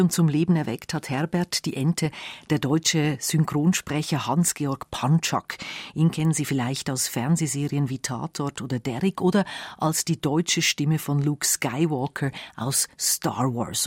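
A radio magazine host presenting and asking interview questions in a slightly husky voice. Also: mid-range voice, no room sound, studio quality.

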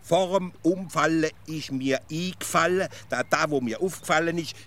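An actor playing a stubborn, flustered provincial simpleton in a comic radio play, stammering and halting with a Swiss accent. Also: male voice, a little high, a bit bright, natural voice. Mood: earnest explaining. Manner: stammering, halting, indignantly self-justifying.

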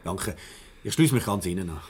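An anxious, polite Swiss German husband in a 1970s radio play. His voice is very deep and husky, hesitant and easily agitated. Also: animated, moderate pace, a little mellow, heavily acted. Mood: deferential. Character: uneasy, deferential husband, anxious about his missing wife.

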